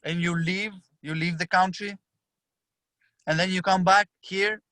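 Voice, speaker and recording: A young man with an Albanian accent, whose first language is Albanian, telling a story as a podcast guest, animated and full of restarts. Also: sits high, a little slow, no echo. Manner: animated, acts out his own past lines with frequent restarts. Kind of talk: casual storytelling.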